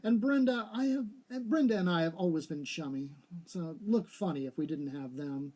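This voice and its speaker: A man's voice: on the high side, moderate pace, darkish tone, close to an everyday voice. A man voicing a willful, coaxing younger female socialite in a story reading. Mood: miserable. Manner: coaxing, gushing.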